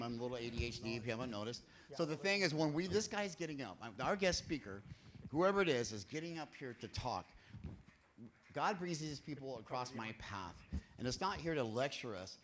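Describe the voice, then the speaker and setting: A man hosting a recovery meeting from a church stage, talking to the crowd in a loose, rambling, jokey way. He swings between quiet, low asides and loud, rousing calls. His delivery leans toward a sermon. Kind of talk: energetic sermon-like talk to a crowd. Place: church hall, speaking from a stage.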